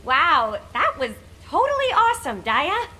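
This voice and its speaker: Young female game comrade, high, bright, upbeat, perky, peacemaking. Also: a little slow, full character voice. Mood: impressed. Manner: animated, upbeat. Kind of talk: scripted game dialogue.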